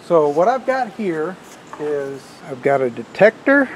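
Male, middle-aged hobby host, slightly high pitch, casual and explanatory, camera audio with faint noise. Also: very animated, measured pace, poor audio. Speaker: host of a radio restoration channel. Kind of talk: hands-on demonstration to camera. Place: outdoors on a wooden deck or driveway, also at a workbench.

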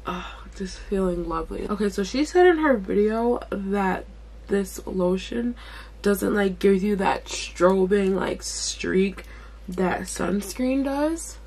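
A young woman with a raspy voice chatting casually through a skincare vlog in her bedroom, talking while she applies products. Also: mid-range voice, animated, slow, darkish tone. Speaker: beauty vlogger. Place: bedroom at home.

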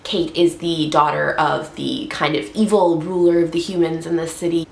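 A woman's voice: deep voice, creaky, a bit bright, a little hiss or hum. A young woman in her twenties with a head cold, chatting upbeat about books on her vlog. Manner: chatty, upbeat, frequent fillers. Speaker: book vlogger. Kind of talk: casual book review vlog. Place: bedroom, indoors. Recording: echoey room.

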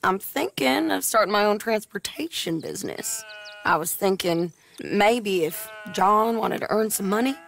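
A tough gunslinging bounty hunter, plain-spoken, with a rural American accent. Her mid-pitched voice is full of gravel, in a Western game cutscene style with faint noise behind it. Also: expressive, unhurried, fairly bright tone. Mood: brisk, confident. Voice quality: raspy. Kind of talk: video game cutscene acting.

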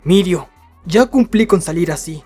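Man acting anxious anime schoolboy, high-pitched, hesitant stammer, embarrassed, Latin American accent. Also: expressive, moderate pace, warm tone, faint music behind. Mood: nervous. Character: timid, anxious, embarrassed teenage boy. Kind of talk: anime comic fandub acting.

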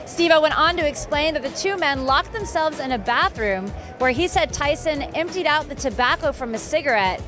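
A woman's voice: sits high, expressive, normal speed, hardly any pauses. A woman hosting an entertainment news piece, reading a scripted narration. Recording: music behind the voice. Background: background music.

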